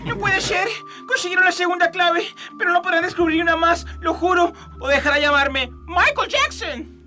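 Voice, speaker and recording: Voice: very high-pitched, normal speed, sharp tone, soft background music. An actor voicing a scheming, indignant cartoonish villain in a parody radio drama, in a melodramatic manner. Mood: thwarted, vowing. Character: scheming cartoonish villain sworn to foil heroes.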